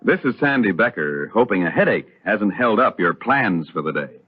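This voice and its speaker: A man's voice: fairly low pitch, sing-song, moderate pace, slight background noise. An American man announcing a scripted sponsor message on old radio, polished, persuasive and emphatic. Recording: muffled, tinny old radio broadcast.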